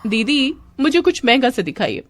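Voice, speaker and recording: Woman putting on a high-pitched fussy young shopper. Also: sing-song, normal speed, a little hiss or hum. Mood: demanding. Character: fussy young female shoppers at a market stall. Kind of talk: acted dialogue in audio storybook.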